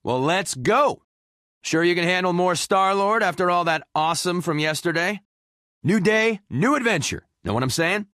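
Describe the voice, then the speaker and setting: A man's voice: high voice, animated, normal speed, pushed and bright, no room sound, studio quality. A man voicing a cocky, playful young space outlaw hero in a video game, breezy and wisecracking. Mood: upbeat, cocky. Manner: breezy, wisecracking, self-assured. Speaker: video game character voice actor. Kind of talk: animated video game voice clips.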